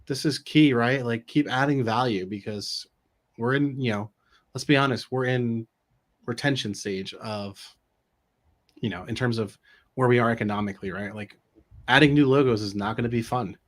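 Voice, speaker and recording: A man's voice: medium pitch, moderate pace. A middle-aged man talks casually as co-host of a business live stream. He speaks informally and keeps tossing in little check-in questions. He is on a video call. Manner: informal, peppered with rhetorical check-ins. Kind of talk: casual conversational advice.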